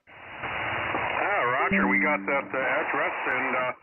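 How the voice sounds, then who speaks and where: A man's voice: mid-range voice, slow pace. A man calling in over a two-way radio as an amateur operator, keeping the exchange terse. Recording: very noisy, narrow shortwave radio signal.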